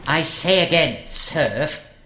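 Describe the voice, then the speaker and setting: A man's voice: a little high, lively intonation, pushed and bright, reverberant, slight background noise, exaggerated voice, low-quality recording. A man playing a pompous, obsequious and menacing lord of a royal council in a comedy audio drama, with a formal manner. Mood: scornful, menacing. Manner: formal, pompous, drawn-out delivery.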